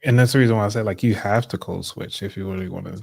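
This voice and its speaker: Guy rambling on a podcast, gravelly voice, dead dry sound. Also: fairly low pitch, fairly even intonation, quick pace, a little mellow, no room sound. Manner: rambling, many "like" fillers. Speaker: podcast co-host. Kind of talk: casual discussion.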